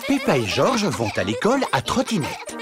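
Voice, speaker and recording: A man's voice: on the high side, huge pitch swings, normal speed, over loud music. A man narrating a children's cartoon in a scripted storytelling style.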